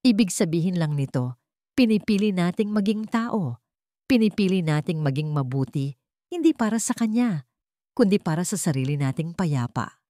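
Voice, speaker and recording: A woman's voice: fairly low pitch, lively intonation, moderate pace, warm tone, no room sound, clean studio sound, natural voice. A woman with a Filipino accent voicing a forgiving, steadfast mother in a drama, speaking in a direct, earnest way. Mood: gentle conviction. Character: forgiving, steadfast mother, firm and tender with her son.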